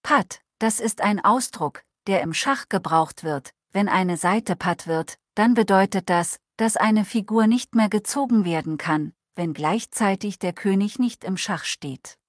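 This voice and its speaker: An outspoken, blunt Swiss man, read by a woman whose native tongue is Swiss German, holding forth in long formal sentences. The delivery stays level in pitch and earnest throughout. It is recorded close and dry, with no room sound. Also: unhurried, hardly any pauses, dry recording, lightly acted. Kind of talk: reading a transcribed conversation aloud.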